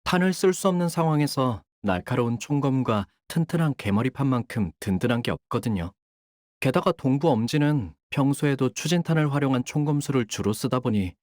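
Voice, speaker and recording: A man's voice: mid-range voice, dry recording, clean studio sound, natural voice. A young man voicing a video game character, a dutiful young syndicate soldier who speaks formally and can turn coldly menacing. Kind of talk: acted character voice lines.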